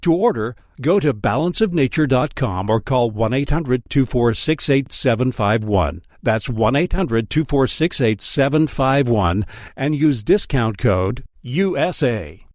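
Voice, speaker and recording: A man's voice: mid-range voice, lively intonation, a little slow, darkish tone, no room sound, clean studio sound. A man reading a scripted ordering tag as a commercial announcer.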